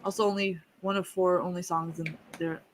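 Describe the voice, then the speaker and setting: A livestream host running a music reaction panel in a relaxed, rambling way. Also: mid-range voice, brisk, a little hiss or hum. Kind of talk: casual chat.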